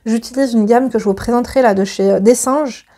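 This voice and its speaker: A woman's voice: fairly high pitch, a little fast, mellow. A woman in her thirties chatting to her viewers and reading a letter aloud, gushing and full of fillers and restarts.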